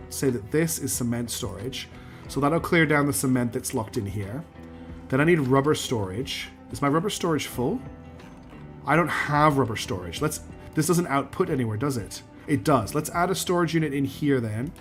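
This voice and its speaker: Guy livestreaming a factory game, voice going a bit croaky, talks thru every step, low music playing under him. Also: lively intonation, normal speed. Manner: talks himself through building steps, counts out loud, asks himself questions; answers chat messages as he plays. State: losing his voice, clearing his throat. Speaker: game streamer. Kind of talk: live gameplay commentary, thinking aloud.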